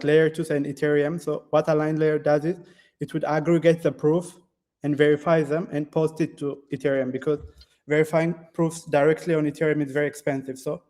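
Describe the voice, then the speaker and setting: Ethiopian man, dark voice, flat delivery. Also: a little high. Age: 20s. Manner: halting, many fillers and restarts, non-native phrasing. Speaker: guest developer. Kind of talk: casual interview answers. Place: classroom.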